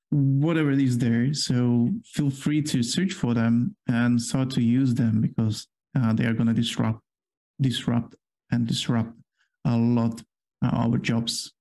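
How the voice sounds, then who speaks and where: A man's voice: mid-range voice, dark tone, poor audio. A man with a Polish accent, a non-native English speaker, co-hosting a casual work podcast and explaining at length with many fillers. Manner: rambling, filler-heavy explaining. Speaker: podcast co-host. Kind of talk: casual chat.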